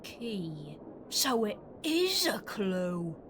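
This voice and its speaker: A woman's voice: medium pitch, big pitch swings, very slow, noisy background. A woman playing a scrappy, eager Victorian London urchin child with an English accent in an audio drama. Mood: eager, scheming. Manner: eager, exclamatory.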